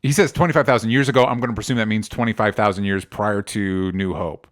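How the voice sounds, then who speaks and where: A man's voice: lowish voice, fairly even intonation, quick pace. A man with an American accent chatting casually and informally about entertainment news as a podcast co-host, often qualifying what he says. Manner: informal, hedging explanations.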